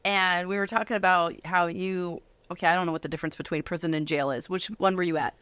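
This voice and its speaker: Female interview host, slightly low register, dry close recording, informal chatty delivery. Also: fairly even intonation, quick pace, fairly bright tone, clean tone, dry recording. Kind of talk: casual conversational interviewing.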